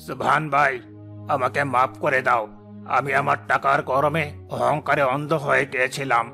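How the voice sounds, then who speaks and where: Humbled wealthy man for a cartoon morality tale, male actor: forceful, creaky, solemn and confessional. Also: mid-range voice, little pitch movement, normal speed, soft background music. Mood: remorseful. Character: wealthy proud man, now humbled and remorseful. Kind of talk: cartoon moral drama dialogue.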